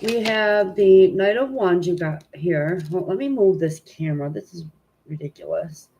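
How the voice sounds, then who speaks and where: A woman with a stuffy, congested nose hosting a tarot reading live stream at home, chatting informally with viewers and filling pauses with "um". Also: fairly low pitch, warm tone, muffled recording, slight background noise. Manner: informal and chatty, many "um" fillers, greets viewers. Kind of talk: casual live-stream chat and card reading.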